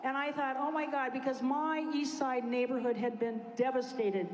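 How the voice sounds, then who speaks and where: Casting: older woman from Iowa, high bright voice, animated storyteller, long hall reverb. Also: normal speed, highs cut off. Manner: animated storyteller, acts out quotes. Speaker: panel speaker. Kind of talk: personal story at a public event.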